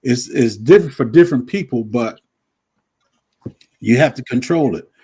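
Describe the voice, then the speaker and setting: A man in his fifties, a guest on a live stream, telling stories in a relaxed way and often repeating himself. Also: medium pitch, moderate pace, slightly rough. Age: 50s. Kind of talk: casual chat.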